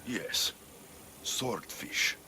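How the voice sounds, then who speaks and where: A man's voice: very slow, sharp tone, slight background noise, full character voice. A male actor playing a confident, blunt mercenary hero in a video game cutscene, firm and matter-of-fact. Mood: blunt, sceptical.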